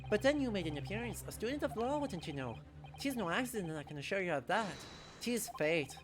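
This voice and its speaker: A woman's voice: mid-range voice, big pitch swings, brisk, background noise, close to an everyday voice. A woman voicing a blustering rich male rogue in an attempted Irish accent, theatrical and folksy, for a game. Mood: persuasive.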